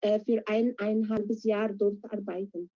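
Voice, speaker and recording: Dark-toned, hesitant woman. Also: medium pitch, flat delivery, measured pace. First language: Arabic. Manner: hesitant, filler-heavy, searching for words. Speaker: project participant. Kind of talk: interview answers in learner German. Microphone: video call. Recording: dull lo-fi online call audio.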